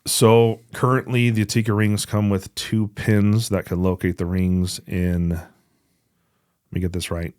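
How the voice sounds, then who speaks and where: Low-voiced man, casual podcast co-host. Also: little pitch movement, unhurried, a little mellow, clean tone, dry recording, clean studio sound. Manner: informal, conversational. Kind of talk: casual podcast product update.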